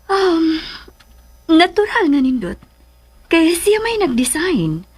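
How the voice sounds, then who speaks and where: A woman acting a concerned, gently teasing mother in a Cebuano radio drama, questioning in a dry way. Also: extremely high pitch, sing-song, slow, exaggerated voice.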